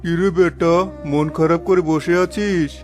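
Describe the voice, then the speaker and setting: A man's voice: fairly high pitch, fairly even intonation, a little slow, darkish tone, soft background music, lightly acted. A man voicing a wise older village uncle in a cartoon, a firm and encouraging mentor to a younger fisherman. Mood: consoling, firm. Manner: firm, encouraging, proverb-laden. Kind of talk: dramatised cartoon dialogue.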